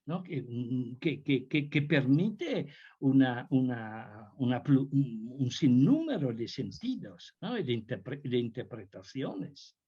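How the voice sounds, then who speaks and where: An older man from Italy with an Italian accent, a guest lecturer answering questions on a video call, speaking haltingly with many restarts. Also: mid-range voice, big pitch swings, breathy edge. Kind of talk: Zoom talk Q&A. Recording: muffled video call audio.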